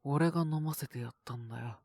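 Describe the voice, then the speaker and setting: A gruff teenage schoolboy acted by a woman in a boys' love audio drama. The voice sits low with a husky rasp, recorded very dry. He speaks in blunt, casual phrases that tease while hiding care. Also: a little fast, darkish tone, no room sound, full character voice. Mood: exasperated, teasing. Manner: blunt, teasing, rough casual boyish speech. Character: gruff, teasing teenage schoolboy secretly caring for classmate.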